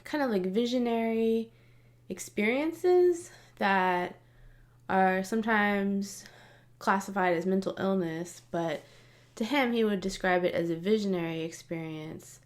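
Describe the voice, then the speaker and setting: A woman's voice: mid-range voice, measured pace. A woman in her late thirties talking casually to camera in a personal vlog, chatty and laughing often, with frequent fillers. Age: 30s. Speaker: vlogger.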